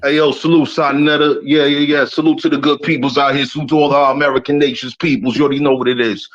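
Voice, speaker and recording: A man's voice: medium pitch, little pitch movement, quick pace, highs cut off. A man calling in to a live stream, arguing brashly, talking over the other person and repeating himself. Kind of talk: heated call-in debate.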